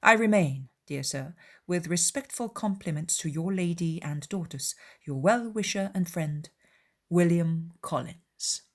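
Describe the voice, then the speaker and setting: Woman acting a pompous, obsequious young clergyman. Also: fairly low pitch. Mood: self-important. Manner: pompous, formal, deliberate.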